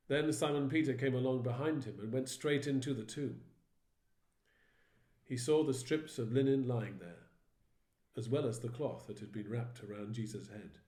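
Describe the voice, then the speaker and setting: A man, a vicar, reading a Bible story aloud in a steady, measured way. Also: mid-range voice. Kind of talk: dramatised Bible reading narration. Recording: roomy echo.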